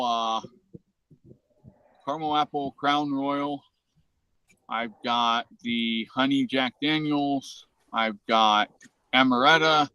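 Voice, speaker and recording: A man's voice: mid-range voice, pushed and bright, dry recording, poor audio. Laid-back man hosting a livestream chat. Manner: easygoing, swears casually, reads chat aloud. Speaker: livestream host. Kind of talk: casual chat.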